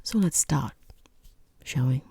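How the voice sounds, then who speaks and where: A woman playing a rude, condescending female boss interviewing a job applicant in soft-spoken ASMR, half-whispered, with dry, sarcastic politeness. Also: a bass voice, expressive, slow, husky, full character voice. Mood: dryly patronizing.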